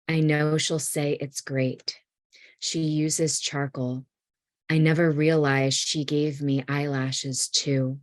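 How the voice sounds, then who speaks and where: A woman reading a poem aloud in a measured literary style. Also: low voice, darkish tone, dry recording, clean studio sound. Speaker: poet reading own work.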